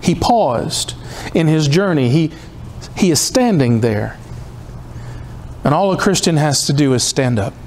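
A man's voice: fairly high pitch, expressive, unhurried, long pauses, darkish tone, a little hiss or hum. Impassioned man in his fifties preaching. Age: 50s. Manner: impassioned, rhythmic repetition, pleading. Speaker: pastor. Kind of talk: sermon. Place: church hall in front of a cross.

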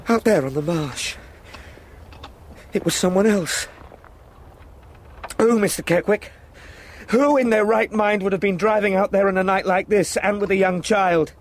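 An actor playing a frightened young solicitor in a radio drama, hesitant, stammering and full of questions. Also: male voice, high-pitched, a little slow, long pauses, a little hiss or hum. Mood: agitated, questioning.

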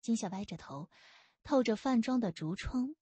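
A woman reading a historical novel aloud as an expressive audiobook narrator. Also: fairly high pitch, moderate pace, slightly rough, no room sound.

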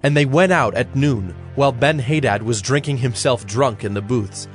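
A man narrating an audio Bible as a scripted, measured storyteller. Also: medium pitch. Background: music.

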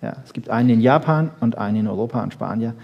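A man lecturing freely on popular science to an audience, emphatic, with rhetorical questions and frequent checking tags, in a slightly husky voice. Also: mid-range voice, quick pace, muffled recording.

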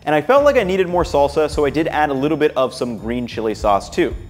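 A young man in his twenties, upbeat and relaxed, narrating his home cooking and chatting to the camera in his kitchen. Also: on the high side, fast talker, faint music behind. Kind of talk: cooking vlog voice-over and casual to-camera chat.

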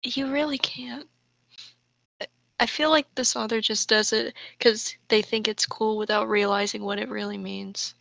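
A woman with a fairly high, bright voice reads aloud in a casual group session. She goes slowly, and the sound is dry and close. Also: steady tone, gravelly, no echo. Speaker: co-host reader.

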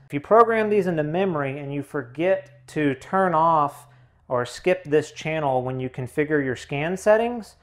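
Male tutorial host, slightly high voice, methodical practical instruction. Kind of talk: hands-on instructional narration.

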